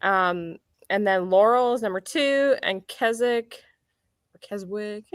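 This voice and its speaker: A woman's voice: medium pitch, takes it slowly, smooth, no echo. Woman, host-realtor type, chatty and upbeat, dry close sound, mid-range voice. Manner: chatty, upbeat, self-correcting. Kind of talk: casual real estate chat. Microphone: computer mic near a keyboard.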